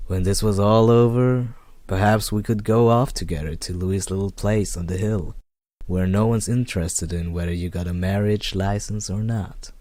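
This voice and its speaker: A man reading a first-person noir detective story aloud in a measured, deliberate way. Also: lowish voice, fairly even intonation, mellow, no echo. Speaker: amateur story reader.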